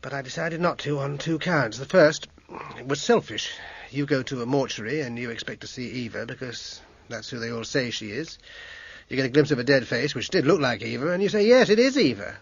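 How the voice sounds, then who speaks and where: A struggling British composer in a radio play, evasive and articulate. His husky voice sits at a middle pitch, hesitant and easily agitated. The recording is slightly dull and muffled. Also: expressive, a little fast, dry recording. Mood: earnest, insistent. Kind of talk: radio drama acting.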